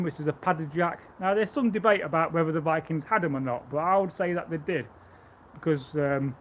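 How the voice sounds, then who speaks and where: A man with a loud voice, pitched a little high, talks his way through a live stream about Viking gear. He rambles and restarts his sentences. The audio is thin and phone-like, with faint hiss behind it. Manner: rambling, chatty, stammering restarts. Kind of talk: casual live stream chat and demonstration.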